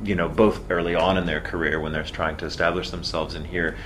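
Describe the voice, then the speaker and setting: A man from Texas with a mid-Atlantic theater accent, speaking as a voice actor guest in a casual interview in an articulate, measured way. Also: deep voice, level tone, a bit bright, a little hiss or hum.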